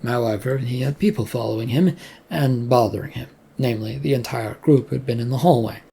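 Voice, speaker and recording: A narrator with a husky, creaky voice reading a scripted story aloud. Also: medium pitch, brisk, dark tone, a little hiss or hum.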